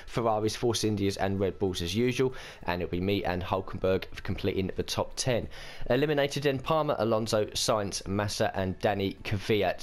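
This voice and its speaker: A man hosting a racing game let's-play, chatting casually and thinking aloud, his voice croaky and hoarse from a cold. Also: fairly low pitch. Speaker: gaming channel host.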